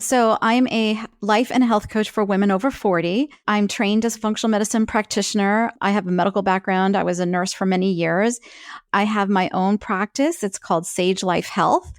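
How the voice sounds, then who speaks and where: A woman's voice: medium pitch, smooth, no echo, clean studio sound. A woman in her fifties, a health coach born in Peru whose first language was Spanish, chatting casually in an interview and often saying "like". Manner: chatty, many "like" fillers, laughs easily.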